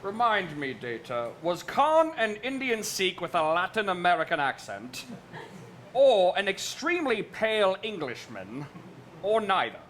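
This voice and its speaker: High, swooping starship captain played by a man. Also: very animated, unhurried, a bit bright, heavily acted. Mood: dry puzzlement. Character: starship captain, measured, commanding, mildly puzzled. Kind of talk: staged reading of a comic parody. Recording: live stage, distant, noticeable background noise.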